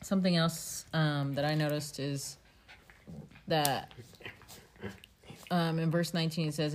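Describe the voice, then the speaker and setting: A woman casually discussing scripture, conversational and checking in with questions as she explains. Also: low voice. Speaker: family scripture discussion co-host.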